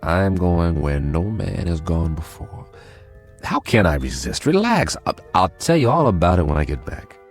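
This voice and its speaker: A wry, world-weary code breaker with a low, gravelly voice whose pitch moves a lot. He talks dryly and conversationally over faint music in an audio drama. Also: very animated, moderate pace. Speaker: former code breaker, narrator of the story. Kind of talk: radio drama acting and narration.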